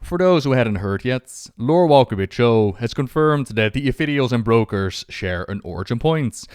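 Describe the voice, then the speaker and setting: A man tells fantasy game lore as a scripted narration, phrasing it like a dramatic storyteller. His voice sits a little low and is recorded very dry. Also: darkish tone, no echo.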